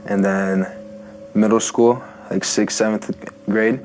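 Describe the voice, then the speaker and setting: A nineteen-year-old man telling his life story in a casual interview, halting and full of fillers and restarts. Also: lowish voice, fairly even intonation, darkish tone, soft background music, low-quality recording. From Ukraine. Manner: halting, filler-heavy speech with many restarts. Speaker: interview guest sharing testimony. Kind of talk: casual personal testimony.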